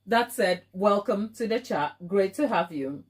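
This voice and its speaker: A woman's voice: mid-range voice, expressive, measured pace. A woman with a Ghanaian accent hosting a casual live chat, affectionate and playful, often repeating phrases for emphasis. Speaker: baking livestream host.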